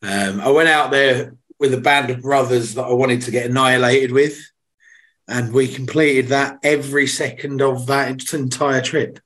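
A young man with a hoarse voice, chatting casually as a podcast co-host and telling rambling, sweary stories. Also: mid-range voice, steady tone, normal speed, fairly bright tone. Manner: tired, rambling storytelling with swearing. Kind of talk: casual chat.

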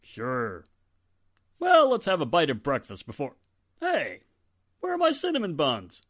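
Hugely animated blustery husband played by a man. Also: a little high, unhurried, heavily acted. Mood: jovial, teasing. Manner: jocular, exclamatory. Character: jovial, teasing, blustery small-town 1940s husband. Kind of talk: radio drama acting.

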